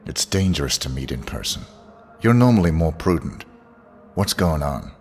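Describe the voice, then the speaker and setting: An actor playing a cold, terse professional hitman in a video game, clipped and deadpan. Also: rumbling low, normal speed, a little hiss or hum. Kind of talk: video game cutscene acting.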